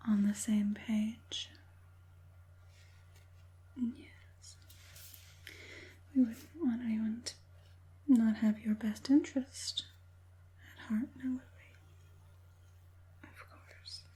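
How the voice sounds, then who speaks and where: A woman voicing an evil witch puppet master in a whispered ASMR roleplay, coaxing and falsely caring, slow and deliberate in a breathy hush. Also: on the high side, full character voice. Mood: absorbed, hushed, then sly, coaxing. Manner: slow, deliberate, soothingly menacing.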